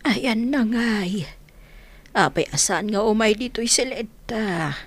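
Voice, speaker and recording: An actress playing an older, doting mother in a radio drama, with a husky voice and a fond way of talking. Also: medium pitch, expressive, takes it slowly.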